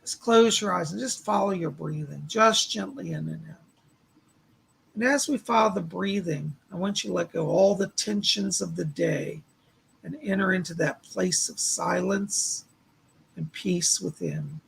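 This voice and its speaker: A man with a raspy, tired voice, speaking to viewers as the host of a psychic medium live stream. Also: high voice, a little slow, a bit bright. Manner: chatty, exclaiming, addresses viewers by name.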